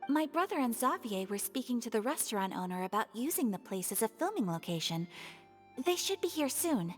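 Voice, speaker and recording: A woman playing a gentle, composed young lady in a video game, polite and measured in manner. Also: a little high, sing-song, soft background music.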